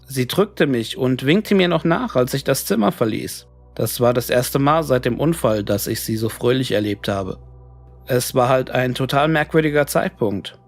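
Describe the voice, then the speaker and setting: A man reading a scripted horror story in a measured, clearly read narration. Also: mid-range voice, soft background music. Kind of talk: scripted creepypasta audiobook narration of emails and diary entries.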